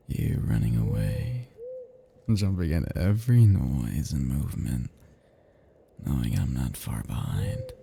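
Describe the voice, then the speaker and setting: A man's voice: rumbling low, huge pitch swings, slow pace, creaky, mellow, breathy edge, exaggerated voice. A man playing a seductive, possessive vampire in an intimate ASMR roleplay, teasing and menacing, with archaic turns of phrase. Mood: predatory, teasing.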